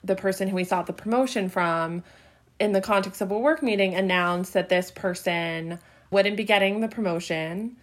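A woman who is an employment attorney, being interviewed and speaking carefully and deliberately. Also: medium pitch.

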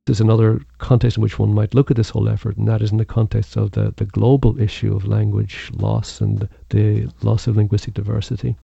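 Gravelly, dark-voiced male academic. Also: lowish voice, no room sound, lo-fi sound. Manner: deliberate, academic, flat. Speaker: university researcher. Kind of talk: interview answer.

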